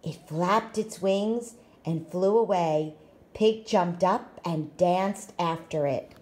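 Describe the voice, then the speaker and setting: A woman reading a children's picture book aloud in an expressive, deliberate way. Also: on the low side. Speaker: storytime reader, school staff.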